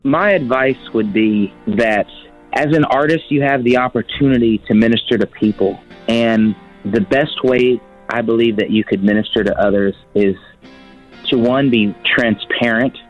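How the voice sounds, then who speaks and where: A man gives earnest advice in halting phrases at a medium pitch. The recording is somewhat dull, with soft guitar music playing behind him. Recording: dull muffled audio under music.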